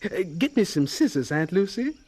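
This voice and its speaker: Earnest, high-voiced Southern teenage boy. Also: sing-song, moderate pace, a little mellow. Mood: cheerful. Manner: polite, earnest. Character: earnest, gentle, eager sixteen-year-old Southern boy. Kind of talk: radio drama acting.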